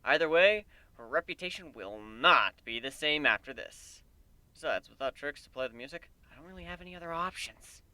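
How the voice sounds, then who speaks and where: Animated, bright-voiced man narrating a story. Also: on the high side, fairly quick. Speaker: amateur story reader. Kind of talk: dramatic first-person story narration.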